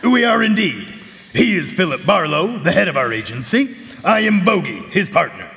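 Earnest polite sidekick, high gravelly voice, formal, radio drama on a reverberant stage. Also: male voice, big pitch swings, moderate pace, sharp tone, exaggerated voice. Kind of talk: live radio drama acting. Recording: reverberant concert hall stage.

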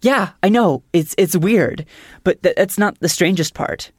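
A woman, a podcast producer, telling a scripted comic story in a halting, stammering, insistent way. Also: on the low side, slightly rough, no room sound, clean studio sound.

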